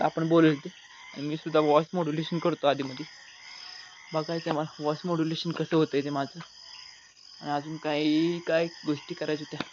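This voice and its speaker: Young male vlogger, casual chat, muffled lo-fi sound with a faint layer of noise. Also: on the high side. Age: young adult. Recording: dull lo-fi audio, faint noise.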